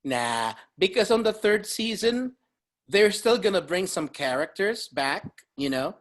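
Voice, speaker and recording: A man's voice: sits high, sing-song, measured pace. A man from the Dominican Republic, a podcast guest talking casually, rambling with many fillers and laughing often. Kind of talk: casual chat.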